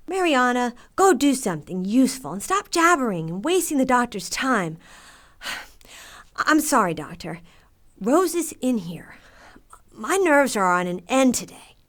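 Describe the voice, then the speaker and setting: A woman's voice: fairly high pitch, sing-song, unhurried, a bit bright. A woman playing a strong-minded, apologetic, earnest mother in an audio drama. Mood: exasperated, flustered.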